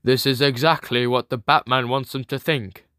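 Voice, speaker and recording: A man's voice: medium pitch, fairly even intonation, normal speed, fairly bright tone, dry recording, studio quality. A man reading scripted, dramatic comic book narration as the storyteller. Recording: music clearly behind the voice.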